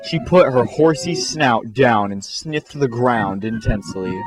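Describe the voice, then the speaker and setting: A man's voice: mid-range voice, expressive, measured pace. A man narrating a comic fan-fiction story in a theatrical, mock-serious way. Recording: music behind the voice.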